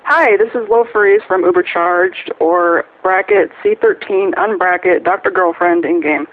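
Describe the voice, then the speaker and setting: A man's voice: high-pitched, moderate pace, faint music behind. A man leaving a casual, chatty, geeky voicemail as a listener over a phone. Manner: geeky, correcting. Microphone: telephone. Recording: tinny narrow phone line.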